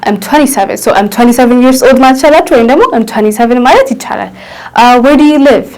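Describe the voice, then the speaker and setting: Ethiopian-accented woman, english teacher, very fast delivery, slightly high voice. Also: darkish tone, rough recording. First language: Amharic. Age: 20s. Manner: quick, switches between languages, slows to spell out English phrases. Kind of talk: bilingual English lesson.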